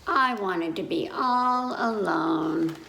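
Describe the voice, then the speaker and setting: A woman's voice: medium pitch, lively intonation, slow, gravelly, pushed and bright. A woman leading a story time for young children, reading aloud in simple child-directed speech and putting questions to her listeners. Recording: roomy echo, faint background noise.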